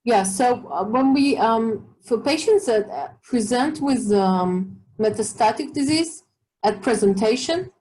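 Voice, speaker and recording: A woman's voice: fairly high pitch, lively intonation, unhurried, darkish tone, poor audio. Woman, Israeli accent, middle-aged, oncologist answering webinar questions, hesitant, many fillers.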